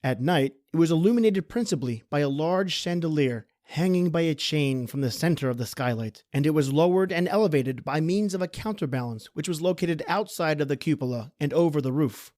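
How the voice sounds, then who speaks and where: A man giving a scripted gothic horror narration in a measured, formal storytelling manner. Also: on the high side, expressive, darkish tone, dry recording, clean studio sound.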